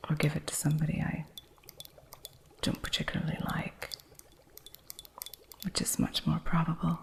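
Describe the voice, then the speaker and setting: A woman playing a female witch shopkeeper who teaches an apprentice, in a soft whisper with dry humor, for an ASMR roleplay. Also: deep voice, completely flat delivery, normal speed, creaky. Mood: hushed.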